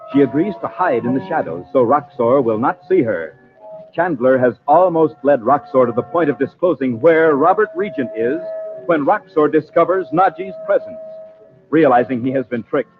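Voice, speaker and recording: An American man working as a 1940s radio announcer, reading scripted copy in a polished, emphatic, dramatic way. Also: a little high. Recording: tinny old radio broadcast, music behind.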